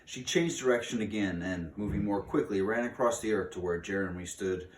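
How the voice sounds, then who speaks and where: Deep-voiced man reading aloud in a roomy space. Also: fairly quick. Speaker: reader of a children's book aloud. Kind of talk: storybook read-aloud narration. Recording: roomy, distant mic with faint noise.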